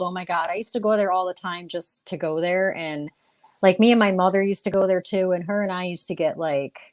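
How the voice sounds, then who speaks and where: A woman from upstate New York with a New York accent, a paranormal investigator chatting in a relaxed, rambling way and laughing easily. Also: lowish voice, dry recording. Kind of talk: casual interview chat.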